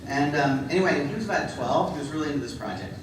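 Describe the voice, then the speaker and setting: A man's voice: fairly high pitch, animated, quick pace. A middle-aged man giving a humorous conference talk, self-deprecating and full of ums. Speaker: conference speaker. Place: large hall with audience. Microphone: handheld microphone. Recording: echoey hall, distant mic, background noise.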